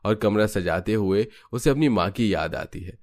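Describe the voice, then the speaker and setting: A man's voice: lowish voice, animated, moderate pace, darkish tone, dry recording, clean studio sound. A man reading scripted narration for a family drama cartoon story.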